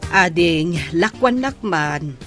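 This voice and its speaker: A woman's voice: lowish voice, expressive, slow, over music. A woman playing a nosy, probing village neighbor with an Ilocano accent in a radio comedy drama. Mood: curious.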